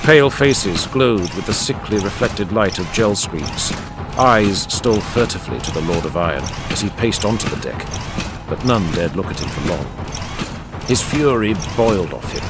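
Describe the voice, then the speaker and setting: A man reading dramatic scripted narration as an audiobook narrator. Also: lowish voice. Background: music and added sound effects.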